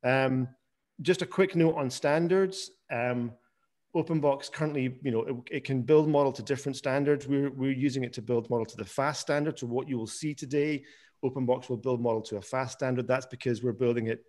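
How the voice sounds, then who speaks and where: A man's voice: mid-range voice, fairly even intonation, fairly quick, darkish tone. A man giving an informal webinar presentation over a video call, with frequent restarts and repeated words. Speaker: guest presenter, software founder.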